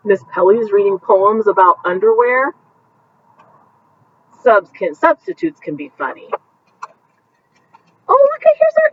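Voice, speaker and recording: Female teacher, bedtime read-aloud, very expressive voice, stops to comment on the pictures, dull lo-fi sound. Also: a little high, slightly rough, recorded from a distance. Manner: animated, pausing to point out pictures to young listeners.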